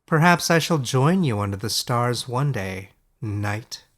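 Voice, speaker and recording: A man voicing a scholarly male wizard in a fairy-tale audiobook, formal, sincere and quietly resolute. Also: mid-range voice, lively intonation, a little slow. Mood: tipsy, inviting.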